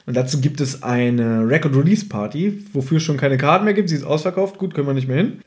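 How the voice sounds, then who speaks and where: A man's voice: a little high, lively intonation, quick pace, a little mellow. A man, a podcast host chatting casually and humorously in his living room, sharing one microphone. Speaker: podcast host and audio drama fan. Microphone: one microphone shared with co-host.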